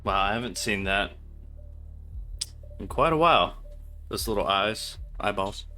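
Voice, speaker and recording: Forceful low-voiced man streaming games. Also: animated, a little slow, faint music behind. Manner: muttering, profane, exasperated asides. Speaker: game streamer. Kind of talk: casual live gameplay commentary.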